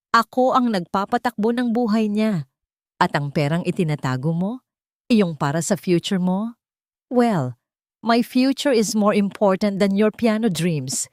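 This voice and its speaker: A woman's voice: medium pitch, no echo, studio quality, lightly acted. A woman voicing a cruel, greedy, scheming aunt in an audio story, gloating and seductive as she mixes two languages. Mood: taunting, gloating. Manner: gloating, switching between Tagalog and English.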